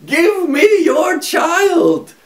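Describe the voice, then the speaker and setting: A man voicing a funny, sly little man in a fairy tale, with a high shrill tone. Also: very animated, very slow, creaky, a bit bright, exaggerated voice. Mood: demanding. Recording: echoey room, far from mic.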